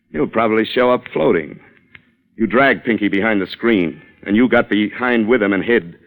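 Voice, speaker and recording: A man acts a shrewd, defiant newspaperman in a vintage radio thriller. His voice sits fairly low, and he talks in a pointed, taunting, hard-boiled way. It has the sound of an old radio broadcast. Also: natural voice, low-quality recording. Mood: bitter, accusing. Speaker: lead actor and narrator. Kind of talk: old-time radio suspense acting.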